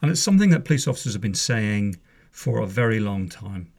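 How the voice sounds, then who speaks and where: Wry, gravelly middle-aged man chatting. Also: on the low side, very animated, brisk, a little mellow. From Northern Ireland. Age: 50s. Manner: chatty, wry, wanders off on asides. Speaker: podcast host, former police officer. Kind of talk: casual spoken monologue. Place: home room.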